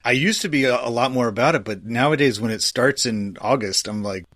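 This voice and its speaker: A man hosts a history podcast in a relaxed, conversational style. His voice sits at an average male pitch. The sound is dry, with very little room around it. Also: brisk, dry recording. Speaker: podcast host. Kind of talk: casual conversational history discussion.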